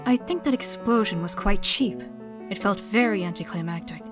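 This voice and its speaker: Cool, deadpan woman an actress's role. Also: medium pitch, normal speed, music in the background, lightly acted. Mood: dryly critical. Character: cool, detached, frank woman. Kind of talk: anime fan-dub acting.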